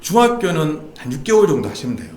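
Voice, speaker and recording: Lively fast-talking man explaining math study. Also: on the high side, reverberant, a little hiss or hum. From Seoul. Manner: patient, explanatory, slightly nervous. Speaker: guest math education expert. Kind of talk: explaining study methods in a chat.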